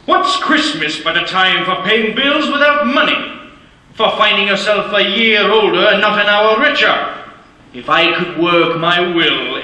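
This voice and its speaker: An actor playing a bitter, stingy old miser who snaps and sneers, in a live stage radio play. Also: male voice, sits high, sharp tone, exaggerated voice. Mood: scornful. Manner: snapping, sneering, clipped. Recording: echoey theater stage.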